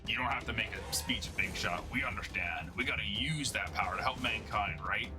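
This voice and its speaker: A man's voice: fairly low pitch, brisk, over music, pitched below the performer's own voice, natural voice. A man voicing a jealous, sarcastic brawler turned monstrous strongman in a dramatic comic-book reading. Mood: grudging. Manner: belligerent, sarcastic.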